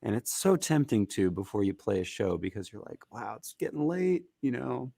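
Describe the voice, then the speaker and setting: Man, raspy and gravelly, low voice, dry close sound, relaxed rambling interview talk. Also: normal speed, dry recording. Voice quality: raspy, gritty voice. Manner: relaxed, rambling with fillers and restarts. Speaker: musician guest. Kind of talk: casual interview chat.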